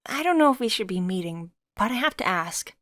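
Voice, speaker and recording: A woman's voice: mid-range voice, animated, brisk, a bit bright, no room sound, studio quality, pitched above the performer's own voice, lightly acted. A woman voicing a friendly, curious and forthright young woman with an upbeat, chatty delivery in a story. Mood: reassuring.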